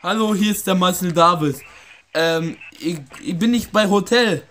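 A young man making a prank phone call and talking to viewers, with a halting, hesitant delivery. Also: sits high, a bit bright. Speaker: prank caller, video host. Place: indoor room with wooden floor.

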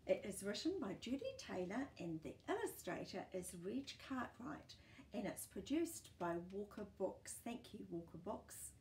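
A woman's voice: mid-range voice, sing-song, normal speed, darkish tone, a little hiss or hum, rough recording. A woman in her sixties with a New Zealand accent reads a picture book aloud to young children, expressively, with chatty asides and questions. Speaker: children's storytime host. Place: small book-filled room indoors.

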